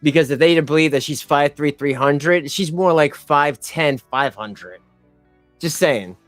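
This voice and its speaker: A man's voice: on the high side, a little slow, a bit bright, dry recording, faint music behind. A man giving mocking commentary over a clip, speaking to his viewers as folks. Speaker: commentary streamer. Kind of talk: reaction commentary.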